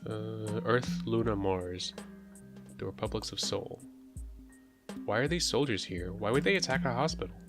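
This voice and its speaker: Man, lowish pitch, casual playthrough commentary, questioning and musing, music behind. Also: moderate pace, pauses often. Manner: musing aloud, often questioning. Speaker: host of a game playthrough channel. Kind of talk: casual game playthrough commentary, reading on-screen text aloud.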